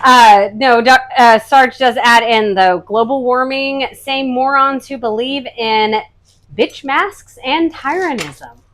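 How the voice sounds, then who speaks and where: Female co-host, high voice, dry short asides, reads chat. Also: slow pace, a bit bright. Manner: brief, dry remarks. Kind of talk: casual chat.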